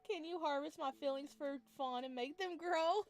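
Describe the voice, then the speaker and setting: Female game streamer, higher-pitched, giggly and reactive, visual novel commentary over soft music. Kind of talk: visual novel playthrough commentary, reading text aloud.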